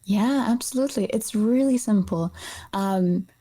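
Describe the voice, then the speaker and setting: A woman's voice: medium pitch, measured pace, warm tone, slightly rough. A woman from Iceland speaking as an interview guest, explaining things in a casual, conversational way. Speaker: guest, nutrition practitioner.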